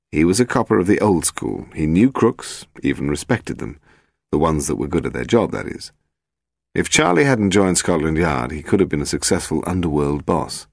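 A man's voice: a bass voice, vocal fry, no room sound, clean studio sound. A man reading scripted narration of a detective audiobook.

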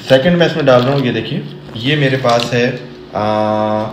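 A chatty middle-aged man cooking in his home kitchen, explaining each step to camera as he goes. His voice sits at a mid pitch and bounces around a hard, echoey room. A steady background noise runs under him. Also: moderate pace. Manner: chatty, explains each step, sprinkles English phrases and Islamic blessings. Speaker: cooking vlogger. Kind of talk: casual cooking vlog to camera.

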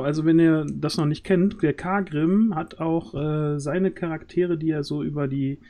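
A man in his thirties hosting a casual livestream, speaking haltingly as he searches for words into a close microphone. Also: on the high side, vocal fry, mellow. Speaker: stream host. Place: indoor home streaming setup. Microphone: close studio microphone on arm.

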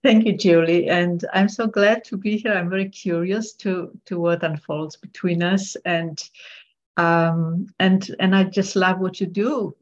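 An older woman from Poland, a guest on a video call interview, speaking earnestly with many small restarts and repeated words. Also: lowish voice. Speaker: guest author. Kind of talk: casual interview chat. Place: bright room at home. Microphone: earbuds on a video call. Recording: dull lo-fi video call audio.

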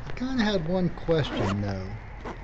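A man's voice: on the high side, wildly swooping pitch, a little slow. A man acting an inquisitive, dry-witted cameraman in an audio drama. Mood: curious, dry. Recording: muffled recording with clear noise.

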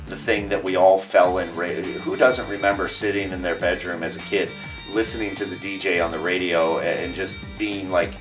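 A man's voice: low voice, recorded from a distance. A man speaking earnestly and emphatically in a documentary interview as a radio DJ.

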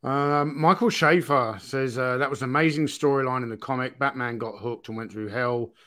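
Halting man with a mid-pitched voice. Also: pushed and bright. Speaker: livestream co-host. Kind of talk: casual chat, reading viewer comments.

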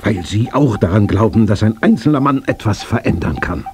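An actor playing a stern, determined old tycoon near death in a German radio play, solemn and commanding. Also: male voice, on the low side, animated, normal speed, darkish tone, lightly acted. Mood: urgent, intimate. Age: older. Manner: solemn, commanding, with coughing fits.

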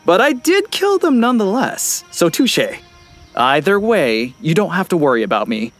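Deadpan young male ninja convict played by a man, anime parody, dry but moving pitch. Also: normal speed, faint music behind, heavily acted. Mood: flat, unbothered.

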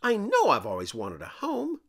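A man's voice: high voice, huge pitch swings, moderate pace, a bit bright, voice pushed up, heavily acted. A man voicing a small, wide-eyed storybook teddy bear who sounds innocent and full of wonder. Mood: delighted wonder.

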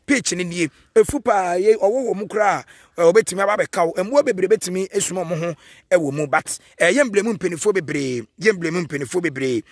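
Ghanaian male field reporter, high husky voice, dry outdoor sound, on-site walkthrough. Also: a little fast, dry recording. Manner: walking tour, pointing things out. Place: outdoors at a stadium.

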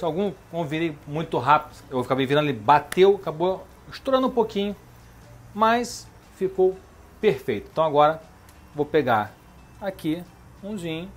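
A middle-aged man talks viewers through a recipe in his home kitchen, chatty and easygoing. His voice sits a little high for a man. Soft music plays underneath him. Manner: chatty, keeps asking "beleza?" and "tá?". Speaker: cooking show host. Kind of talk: casual home cooking demonstration.

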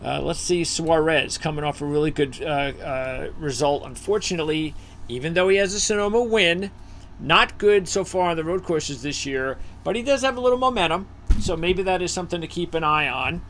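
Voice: medium pitch, lively intonation, moderate pace, a bit bright, slight background noise. A host leading a casual racing betting preview over a video call, thinking aloud with fillers while scanning a list.

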